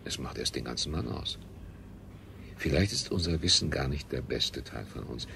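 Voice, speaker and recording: An actor playing an earnest, high-minded scientist in a 1970s radio drama, speaking solemnly and pompously. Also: male voice, lively intonation, normal speed, creaky, bright, forceful tone, exaggerated voice. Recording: noisy 1970s radio play recording.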